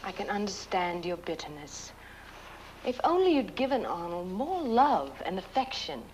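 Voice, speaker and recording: An actress playing a sweetly scheming young bride, demure and measured, in a film drama. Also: female voice, mid-range voice, big pitch swings, a little mellow, a little hiss or hum, close to an everyday voice, rough recording. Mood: sweetly defiant.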